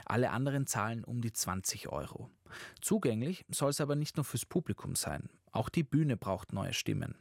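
A man reading a scripted news voice-over in an even, measured way. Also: mid-range voice, vocal fry, no echo, clean studio sound. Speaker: news reporter. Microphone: studio microphone.

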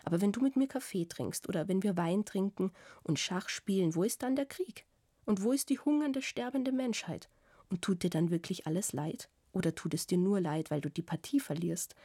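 A woman's voice: lowish voice, lively intonation, moderate pace, mellow, no room sound, studio quality. A woman reading an adult man's words as he scolds his lover with incredulous questions in an audiobook. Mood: mocking. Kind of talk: audiobook reading of a literary novel.

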